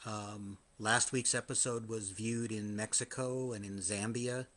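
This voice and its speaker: A man hosting his own live podcast, a little hoarse after hours of singing, talking in a chatty, self-deprecating way with frequent restarts. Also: lowish voice, steady tone, unhurried, a bit bright. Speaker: podcast host. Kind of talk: live-streamed casual monologue.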